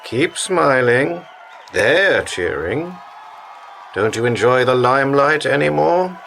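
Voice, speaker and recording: Actor as slow, gravelly male minder. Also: mid-range voice, animated, fairly bright tone, exaggerated voice. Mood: coaxing, controlled. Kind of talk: radio play acting. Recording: crowd and noise behind.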